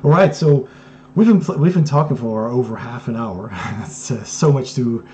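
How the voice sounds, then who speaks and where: A man hosting a board game show, with a mellow, dark-toned voice and a relaxed way of talking. He chats casually and keeps the guest going with quick agreements. A faint noise sits under the recording. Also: mid-range voice, muffled recording. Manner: relaxed, with short backchannel agreements. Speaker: podcast host. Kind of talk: casual interview conversation.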